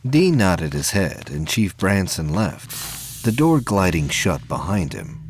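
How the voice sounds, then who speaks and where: A man's voice: deep voice, big pitch swings, slow pace, a little hiss or hum. A man reading scripted narration as the narrator of an audio drama.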